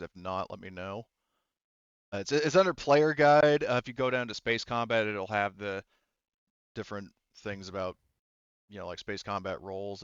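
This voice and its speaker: Halting man with a low, clear voice. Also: a bit bright, no echo, lo-fi sound. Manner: halting, explanatory, repeats himself. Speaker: game master. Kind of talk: casual tabletop game refereeing.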